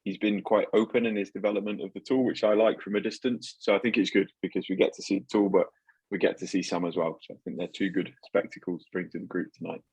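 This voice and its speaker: Low, husky, fast-talking co-host. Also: male voice, flat delivery, darkish tone, no room sound, poor audio. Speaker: meetup co-host. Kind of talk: casual introduction of a guest.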